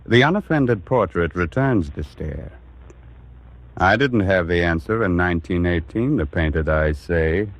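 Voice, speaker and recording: A man's voice: deep voice, expressive, muffled recording. A man with an American accent narrating in a grave, oratorical 1940s radio drama style. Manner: grave, oratorical, measured phrasing.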